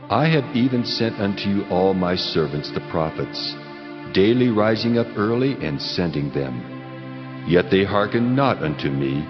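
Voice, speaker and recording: Stern, majestic God for dramatized scripture: very low voice, solemn commands, muffled sound. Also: male voice. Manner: solemn, commanding, deliberate declamation. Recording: cavernous long reverb, muffled, music underneath.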